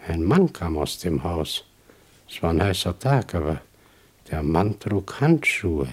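A working-class driver in an old radio drama, puzzling something out. His voice is low and husky, moving slowly with pauses yet with wide swings of intonation. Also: very animated, a little mellow, exaggerated voice. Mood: pondering, suspicious. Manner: slow, musing, pausing. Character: working-class male delivery driver, puzzled, suspicious storyteller. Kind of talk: 1960s German radio play acting.

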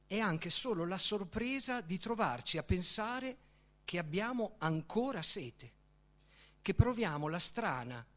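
A man reading literary prose aloud as its author, in a declamatory, deliberate manner with emphatic pauses. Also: high-pitched. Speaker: author reading own book. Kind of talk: literary reading, dramatic recitation.

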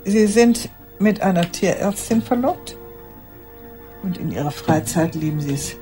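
An old woman, deliberate and guarded. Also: fairly low pitch, lively intonation, dark tone, faint music behind. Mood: businesslike. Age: older. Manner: deliberate, formally polite, addressing a silent listener. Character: old woman in a care home, matter-of-fact, guarded. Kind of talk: radio drama monologue.

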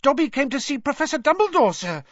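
An audiobook narrator voicing an excitable male house elf, squeaky and shrill, eager and servile, speaking of himself in the third person. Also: fast, dry recording, highs cut off. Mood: earnest. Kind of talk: audiobook character voice.

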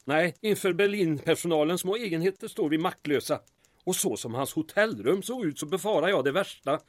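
An actor playing a courteous, beleaguered small-town mayor in a radio drama, with a formal, wordy and drily ironic manner. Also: male voice, fairly high pitch, animated, no room sound, clean studio sound. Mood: worried, reasoning.

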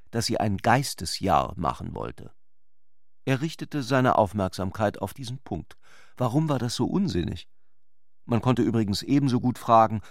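A man's voice: on the low side, dry recording, studio quality. A man narrating a literary radio drama from a script.

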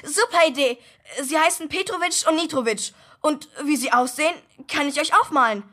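Cheeky, bright-voiced young boy. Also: high voice, moderate pace. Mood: eager, showy. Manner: flippant, brash. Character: cheeky, cocky young boy pretending to be someone else. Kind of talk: German children's radio play.

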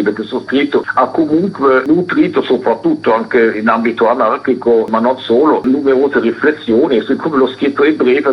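A man's voice: mid-range voice, breathy edge. A man giving reflective, intellectual answers as an interview guest on a lo-fi radio link.

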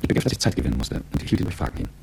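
A man's voice: rapid-fire, heavy background noise, natural voice. A man playing a cool, calculating, world-weary business executive who tells his story reflectively and articulately in a radio drama. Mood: reflective, sober. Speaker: narrator and lead character. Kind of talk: radio drama first-person narration.

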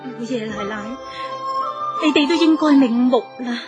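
A high, gentle wife's voice, acted by a woman in a Cantonese radio play. She is dutiful, earnest and polite, with music playing clearly behind her. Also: measured pace, dull audio, lightly acted. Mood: solemn, pleading. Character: gentle, dutiful wife and daughter-in-law. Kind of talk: Cantonese radio drama acting.

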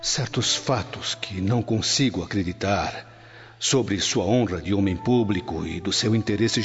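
A man acting a proud, anguished Roman nobleman in a radio drama, speaking formally and emphatically. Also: fairly low pitch. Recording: dull lo-fi audio, long reverb, music behind.

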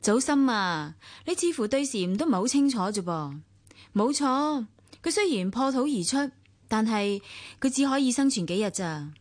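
High-pitched, reserved wistful young-sounding wife. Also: female voice, big pitch swings, normal speed. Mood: guarded. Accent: Hong Kong Cantonese. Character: reserved, guarded married woman with a wistful temper. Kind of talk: radio drama acting with inner monologue.

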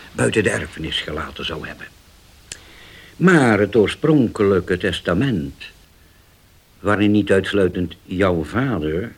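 An actor playing a grave older uncle in a radio drama, deliberate and halting, once vengeful and now forgiving. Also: male voice, lowish voice, slightly rough, exaggerated voice.

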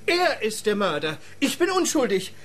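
An actor playing a slick lawyer in a radio play, emphatic and wheedling as a suspect husband. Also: male voice, high-pitched, big pitch swings, moderate pace, a bit bright, a little hiss or hum. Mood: protesting, pleading. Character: slick, pleading lawyer husband protesting his innocence.

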